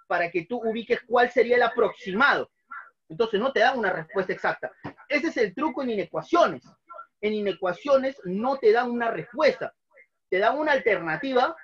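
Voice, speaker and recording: Muffled man teaching a math class. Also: high voice. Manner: patient, repeats each step, asks students questions. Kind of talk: online class at a whiteboard. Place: small room at a whiteboard.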